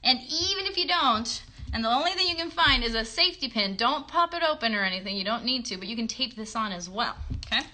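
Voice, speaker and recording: Female kids' show host, thirties, high-pitched and bright, casual and encouraging, phone livestream from home. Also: lively intonation, fast. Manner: encouraging, questioning, talking to young viewers. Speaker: children's puppet show host. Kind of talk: casual craft demonstration for children. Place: bedroom at home. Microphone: phone at about arm's length.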